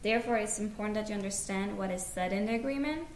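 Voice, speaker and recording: A woman's voice: medium pitch, fast. Young woman, podcast co-host, earnest, plain-spoken, echoey room, faint background noise. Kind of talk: scripted podcast chat.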